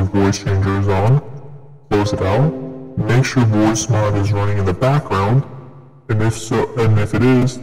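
A man's voice: lowish voice, darkish tone, pitched below the performer's own voice. A man speaking as a possessed demon through a voice-changer filter, casually narrating a tutorial. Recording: long reverb with music behind.

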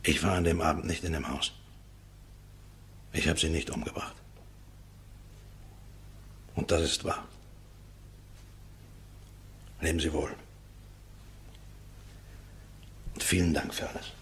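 An actor playing a resigned condemned prisoner in a German radio drama, speaking in a halting, subdued, very husky voice. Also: male voice, a bass voice, steady tone, fairly bright tone, slight background noise. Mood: quietly insistent. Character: resigned condemned prisoner protesting his innocence, grateful.

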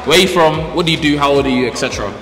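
A young man hosting a dating game show, teasing and quick, repeating words for effect, holding a handheld mic in a studio. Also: on the high side, fairly bright tone. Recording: roomy studio echo with music.

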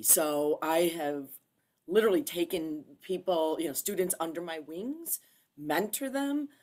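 A woman university archivist and instructor on a video call panel, speaking earnestly and haltingly with many pauses. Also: deep voice, darkish tone.

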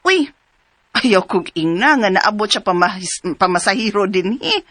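A woman's voice: medium pitch, very animated, normal speed, fairly bright tone, no room sound. A woman playing a nosy, gossipy but concerned friend in a radio drama, with a Cebuano accent. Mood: teasing, nosy.

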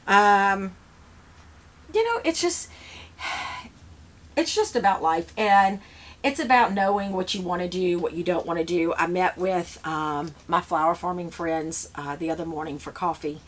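A woman's voice: lowish voice, unhurried, a little hiss or hum. A woman, chatting casually to the camera while she tends seedling trays in a seed-starting shed, rambling with lots of fillers and restarts. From Louisiana, US. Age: 50s. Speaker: flower farmer. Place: indoor seed-starting shed with grow shelves.

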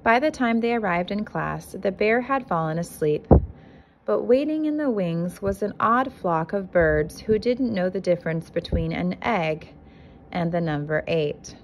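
Low-pitched woman reading a picture book aloud. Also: animated, measured pace, poor audio. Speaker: kindergarten teacher reading aloud. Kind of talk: picture book read-aloud to young children. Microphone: handheld phone filming the book. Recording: noticeable background noise, amateur phone recording.

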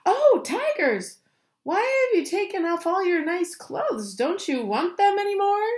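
A woman's voice: high-pitched, expressive, measured pace, darkish tone, roomy sound, pitched above the performer's own voice. A woman voicing a small, timid but clever little boy in a children's story, with plaintive pleading. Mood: calling out.

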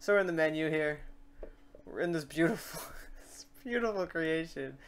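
A man hosting a casual software tutorial, informal and rambling, thinking aloud as he works. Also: a little high, animated, normal speed, a little hiss or hum. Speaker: tutorial host. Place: indoors at a computer.

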